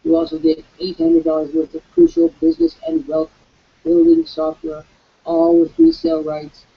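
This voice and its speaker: A man hosting a live online webcast, with a croaky voice, giving an emphatic, preacherly sales pitch. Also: sing-song, moderate pace, dull audio. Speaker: webcast host.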